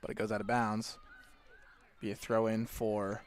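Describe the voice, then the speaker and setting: A man's voice: on the low side, flat delivery, unhurried. A man with a Californian accent giving laid-back, conversational play-by-play at a college soccer match. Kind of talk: play-by-play commentary.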